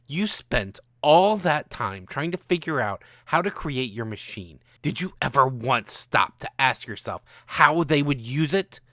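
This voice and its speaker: A man's voice: medium pitch, expressive, measured pace, hardly any pauses, pushed and bright, dry recording, studio quality, voice pushed up. A man voicing a bitter, angry gunman from the future with a mocking, ranting manner in a story. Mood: furious.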